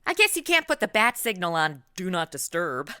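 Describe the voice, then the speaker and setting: Casting: actress as a blunt, sarcastic young sidekick for a radio spoof, bright, highly expressive. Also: female voice, moderate pace, clear, resonant tone, dry recording, studio quality. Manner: snarky, blunt, complaining. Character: sassy, sarcastic modern young woman, reluctant sidekick. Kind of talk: comedy radio play acting.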